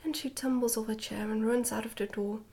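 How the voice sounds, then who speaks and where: A woman's voice: on the high side, fairly even intonation, brisk. Whispering woman reading a storybook, ASMR style. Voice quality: whispery, breathy, soft-spoken. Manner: gentle, hushed, careful storytelling. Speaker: ASMR book reader.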